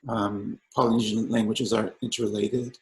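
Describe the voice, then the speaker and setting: A man who grew up speaking Samoan, an artist answering panel questions in a halting way with many fillers and restarts. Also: fairly low pitch, little pitch movement, low-quality recording.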